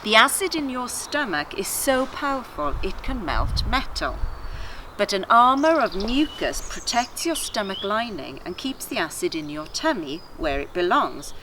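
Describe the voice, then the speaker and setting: A woman reading a devotional aloud to children in an expressive storytelling style, with deliberate, emphatic phrasing. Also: mid-range voice, noisy background. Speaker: children's church story reader.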